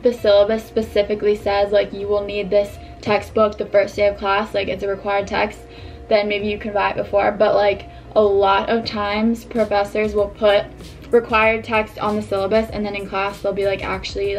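A woman in her twenties vlogging casually from her bedroom, chatty and full of "like" fillers, her voice a bit worn. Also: medium pitch. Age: 20s. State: slightly losing her voice. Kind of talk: casual vlog chat to camera. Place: bedroom, indoors.

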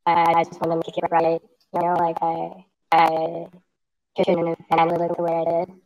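A man speaking through a voice changer that makes him sound high and odd, talking haltingly and apologetically on a voice-chat call. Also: monotone, creaky, lo-fi sound. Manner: hesitant, apologetic, halting with many fillers. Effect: high-pitched voice changer. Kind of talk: casual voice call.

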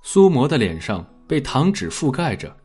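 A man's voice: mid-range voice, big pitch swings, normal speed, darkish tone, husky. A man narrating a novel as a scripted, dramatic audiobook. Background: background music.

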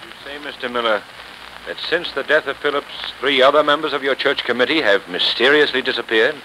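Radio mystery sleuth played by a man: composed, probing, crisp forceful tone, medium pitch, faint noise. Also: fairly quick, close to an everyday voice. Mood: calm, inquiring. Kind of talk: 1930s radio mystery acting. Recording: faintly noisy 1930s radio recording.

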